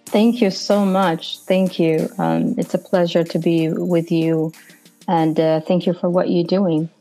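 A woman from Palestine with a Palestinian Arabic accent, answering as an interview guest in halting, conversational phrases. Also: lowish voice, flat delivery, vocal fry, dark tone, soft background music. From Palestine (Jerusalem). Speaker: podcast guest, nonprofit director and activist.

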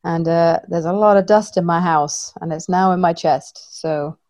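A woman's voice: fairly low pitch, moderate pace, darkish tone. A woman co-hosting a family podcast, congested and a little wheezy, hesitant with many ums. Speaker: podcast co-host. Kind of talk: casual family chat.

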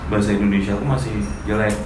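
A young man with a low, fairly monotone voice talks in a casual interview, stopping and restarting as he hunts for words. The room is echoey, and steady background noise runs under him. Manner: hesitant, halting, many restarts. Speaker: musician.

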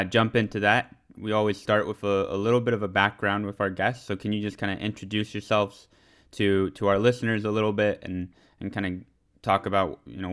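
A man's voice: lowish voice, clear, resonant tone. A man co-hosting a podcast, talking casually and loosely with lots of "kinda" and "you know". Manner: relaxed, hedging with "kinda" and "you know", repeats words. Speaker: podcast co-host.

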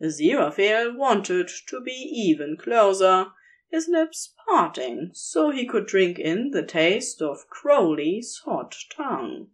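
Slow, expressive woman narrator. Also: medium pitch. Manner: slow, measured, expressive reading. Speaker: audiobook-style podfic narrator. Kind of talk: scripted fiction narration, intimate storytelling.

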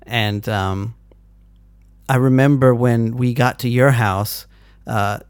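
A man in his forties leads the conversation in a friendly, easygoing way, pausing to recall old memories. His voice has an ordinary middle pitch. The sound is dry and close, with no room echo. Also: fairly even intonation. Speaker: interviewer. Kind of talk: casual conversation.

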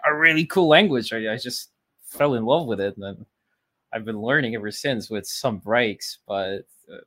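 A man's voice: mid-range voice, normal speed, sharp tone, dry recording. A thirty-year-old man from Poland whose first language is Polish, chatting casually as a podcast guest, rambling with fillers and restarts. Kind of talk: casual interview chat.

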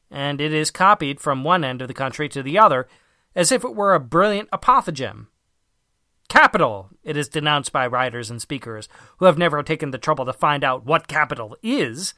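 A man narrates a scripted essay in a crisp-edged, bright voice pitched a little high. His delivery is measured and formal, leaning firmly on key words. The sound is dry, with no room around it. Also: dry recording. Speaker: audiobook reader.

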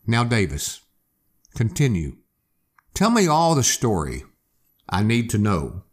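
A man's voice: on the low side, huge pitch swings, takes it slowly, full character voice. A man voicing a weak, wounded young man in a hospital bed, speaking wearily and earnestly.

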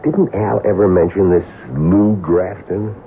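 An actor with an American accent playing a wary impostor in a 1970s radio drama. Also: male voice, sits low, unhurried. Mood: wary, irritated. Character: smooth, wary male impostor living under a dead man's identity. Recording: muffled, noisy 1970s radio broadcast.

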